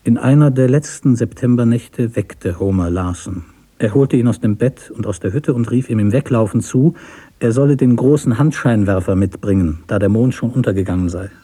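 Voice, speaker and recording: A man narrating a literary story for radio in a measured, deliberate way. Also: medium pitch, warm tone, husky.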